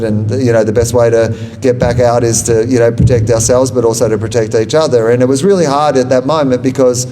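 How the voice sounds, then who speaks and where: A man's voice: medium pitch, mellow, slight background noise. A man, a comedian and author talking about his book at a live bookshop event, rambling and self-deprecating. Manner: rambling, self-deprecating and jokey, laughs at own lines. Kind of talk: live book talk with audience questions. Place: bookshop event before an audience. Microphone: handheld microphone.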